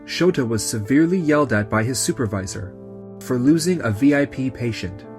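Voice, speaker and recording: A man's voice: medium pitch, close to an everyday voice. A man voicing an earnest, polite young clinic nurse who also narrates a manga dub. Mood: calm narrating. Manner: polite, sincere. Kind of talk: scripted dub acting and narration.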